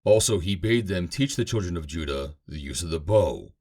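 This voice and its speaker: A man's voice: deep voice, animated, moderate pace, darkish tone. A man giving a solemn, theatrical, emphatic reading of scripture as a narrator. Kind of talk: dramatic scripted reading of King James Bible.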